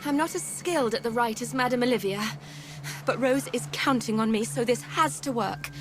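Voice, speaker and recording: An actress playing an anxious young mystic in a game cutscene, speaking earnestly and pleading with trembling worry. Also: female voice, a little high, normal speed, music in the background, lightly acted.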